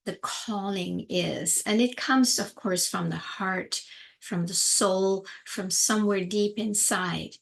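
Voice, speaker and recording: A middle-aged woman speaks slowly and unhurriedly, her voice carrying a gravelly fry. She talks calmly as a spiritual teacher, with a middle-range pitch, often pausing to find the right words. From Denmark. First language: Danish. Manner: calm, unhurried, searching for words. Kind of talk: reflective spiritual talk.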